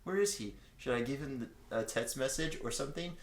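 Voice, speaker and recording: A man's voice: medium pitch, lively intonation, a little fast, natural voice. A man voicing an anxious, lovesick young man brooding to himself in an amateur fan fiction read-aloud. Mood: worried. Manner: self-questioning inner monologue. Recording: roomy, echoey home recording.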